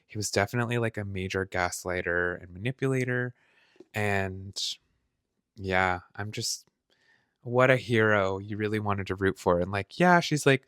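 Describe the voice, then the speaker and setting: Lower-pitched man, casual and emphatic vlogger. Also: a bit bright. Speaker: book vlogger. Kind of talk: casual live reading-reaction vlog.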